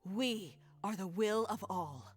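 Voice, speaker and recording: A woman's voice: mid-range voice, lively intonation, slow pace, husky. A woman voicing an indignant accuser from a vindictive mob in a video game, haughty and declamatory. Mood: indignant, menacing.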